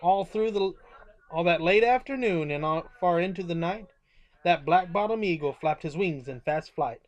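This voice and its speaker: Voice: mid-range voice, normal speed. Storyteller reading a children's book aloud. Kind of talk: animated children's story read-aloud with casual asides.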